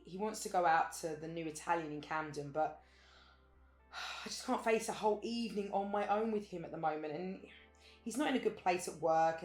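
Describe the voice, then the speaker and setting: A woman's voice: fairly low pitch, natural voice. A woman playing a sardonic, exasperated but loyal friend in a naturalistic audio drama, with a dry manner. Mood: weary, coaxing. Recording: roomy, echoing sound.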